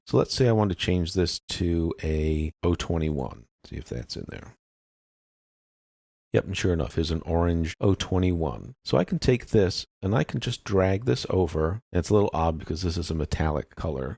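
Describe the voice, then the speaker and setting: Low, gravelly man giving a tutorial. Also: warm tone, no echo, studio quality. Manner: informal, explanatory, thinking aloud while working. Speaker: software tutorial instructor. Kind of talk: casual step-by-step screen tutorial.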